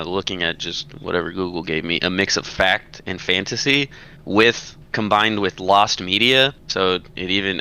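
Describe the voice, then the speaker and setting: A man speaks with a very low yet bright, crisp voice. He chats casually and tentatively, working out his thoughts as he goes. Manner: tentative, thinking aloud. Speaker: podcast panelist. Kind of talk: casual chat.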